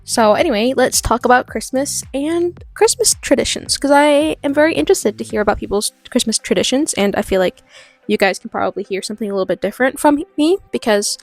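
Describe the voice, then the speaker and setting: A woman's voice: fairly high pitch, animated, fairly quick, no room sound. Chatty American-accented woman, dry close sound. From born in the US, raised in Norway. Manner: chatty, laughs often, rambling asides. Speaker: art channel host. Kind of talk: casual voice-over while drawing. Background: soft background music.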